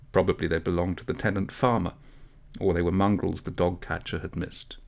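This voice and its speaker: Man, low-pitched voice, gravelly creak, expressive audiobook storytelling, measured dramatic phrasing. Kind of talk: expressive literary storytelling narration.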